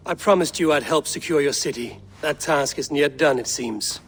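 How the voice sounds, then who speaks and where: Guarded Viking raider, higher-pitched. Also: male voice, moderate pace, fairly bright tone. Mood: steady, loyal. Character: Viking raider warrior, steady, loyal, guarded. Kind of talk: cinematic video game acting.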